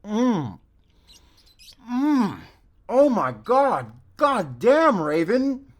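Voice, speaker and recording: A man playing a friendly, talkative and excitable young forest ranger in an audio roleplay, chatting eagerly to the listener with bashful hesitations. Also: sits high, very slow, exaggerated voice. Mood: delighted, gushing.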